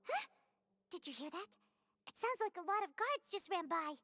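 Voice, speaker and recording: A woman voicing a tiny, childlike fairy companion in a video game, squeaky, excitable and expressive. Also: moderate pace, no echo, heavily acted. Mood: curious, pleased. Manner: refers to self in third person, expressive.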